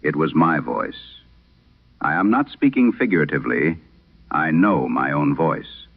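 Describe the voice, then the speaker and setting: A man performing as a late-night radio host, solemnly reading an eerie letter in measured, deliberate phrases through an old radio filter, in a vintage horror drama style. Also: extremely low pitch, expressive, heavily acted. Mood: grave, haunted. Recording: tinny band-limited old radio sound.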